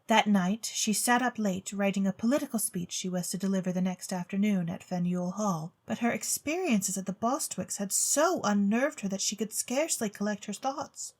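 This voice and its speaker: A woman reading a story as a theatrical narrator, with expressive, precise literary delivery. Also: mid-range voice, moderate pace, few pauses. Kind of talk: theatrical scripted storybook narration for an audio drama. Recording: music bed under the voice. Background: music.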